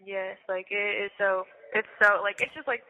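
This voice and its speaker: A woman's voice: mid-range voice, steady tone, normal speed, a little hiss or hum. A young woman chatting casually and slangily over a jail phone line, saying "like" often. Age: young adult. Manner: frequent "like", slangy. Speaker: jail inmate on a phone call. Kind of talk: casual chat. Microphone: telephone.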